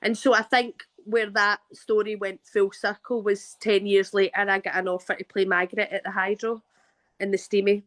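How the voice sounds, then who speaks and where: A woman's voice: mid-range voice, flat delivery, normal speed, a bit bright. A Glaswegian woman in her thirties, an actress telling a story in a casual interview, chatty with frequent restarts. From Scotland. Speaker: guest actress.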